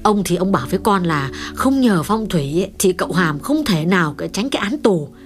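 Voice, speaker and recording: A woman voicing a chatty, deferential and excitable household servant in an audio story. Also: lowish voice, a little fast, soft background music. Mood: gossiping. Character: gossipy, excitable female household cook and servant. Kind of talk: audio story character dialogue.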